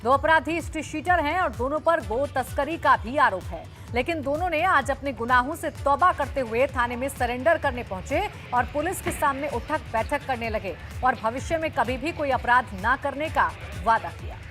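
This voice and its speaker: Female news anchor, high and bright, broadcast bulletin delivery over a music bed. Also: expressive, normal speed, few pauses.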